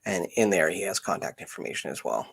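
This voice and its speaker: A man chatting unscripted as host of a live stream, halting and searching for words. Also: deep voice, very animated, gravelly, a bit bright, breathy edge.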